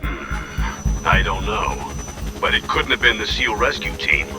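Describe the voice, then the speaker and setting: An actor playing an older military colonel who gives covert mission orders in a measured, commanding way for a video game. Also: male voice, very deep voice, completely flat delivery, over music. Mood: guarded, stern. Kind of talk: video game radio briefing acting.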